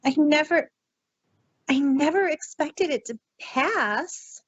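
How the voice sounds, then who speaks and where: Casting: a woman as earnest, wounded young pony princess, high swooping voice, halting and fretful, dry dull recording. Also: lively intonation, no room sound. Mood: upset, dejected.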